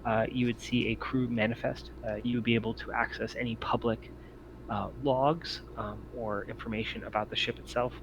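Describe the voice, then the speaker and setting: A man improvising narration as the game master of a tabletop roleplaying game, speaking haltingly with many ums and pauses. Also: medium pitch, flat delivery, lo-fi sound, noisy background. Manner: halting, hesitant delivery full of long ums and pauses.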